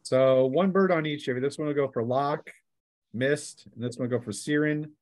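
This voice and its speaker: A man's voice: a little high, moderate pace, a little mellow. Man running a tabletop game as game master. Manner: conversational, narrates action between rules talk and arithmetic. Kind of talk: unscripted tabletop game refereeing.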